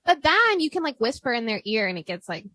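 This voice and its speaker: A woman's voice: on the high side, big pitch swings, quick pace, sharp tone, no echo. A young American woman hosting a podcast, chatting casually over drinks with a big voice, lots of "like" and frequent laughs. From United States. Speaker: podcast host.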